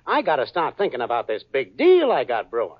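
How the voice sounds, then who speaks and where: A man's voice: medium pitch, wildly swooping pitch, brisk. An actor playing a wisecracking small-time hustler boyfriend in a 1940s radio sitcom, full of brash salesman patter. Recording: dull old radio broadcast, audience laughter.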